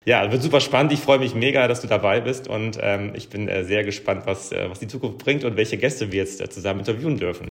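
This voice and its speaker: A man with a fairly low voice hosts a casual interview podcast. He speaks informally and gives encouraging responses. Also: quick pace, few pauses. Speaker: podcast host.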